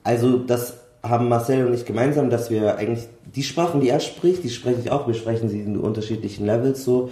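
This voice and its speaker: This man speaks at a middle pitch with a dark, mellow tone. He chats casually as a podcast guest, joking and throwing in slangy asides. A roomy echo hangs on his voice. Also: fairly quick, few pauses. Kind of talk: casual chat.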